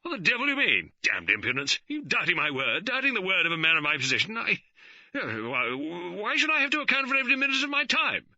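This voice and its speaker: A man plays a self-important middle-aged gentleman who splutters in outraged indignation. His voice jumps high and sounds sharp and forceful. The recording is dry and a little dull. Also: wildly swooping pitch, moderate pace, husky, dry recording, heavily acted. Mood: blustering. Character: pompous middle-aged man, blustering and easily angered.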